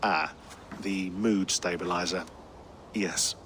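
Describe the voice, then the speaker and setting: An actor playing a dry, sardonic researcher, in naturalistic video game dialogue. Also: male voice, deep voice, fairly even intonation, fairly bright tone, a little hiss or hum. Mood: cautious. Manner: dry, wry, measured. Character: dry, sardonic male researcher, cautious colleague.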